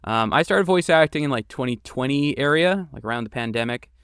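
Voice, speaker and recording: Man, smooth clear voice, mid pitch, casual interview guest, explanatory, dry recording. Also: animated, fairly quick, fairly bright tone, dry recording. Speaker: podcast guest, game creator and voice actor.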